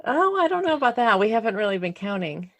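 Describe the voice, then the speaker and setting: A woman's voice: a little high, very animated, quick pace, no room sound. A woman co-hosting a podcast, asking a guest questions in a casual, friendly way with small hesitations. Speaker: podcast co-host. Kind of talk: casual interview chat.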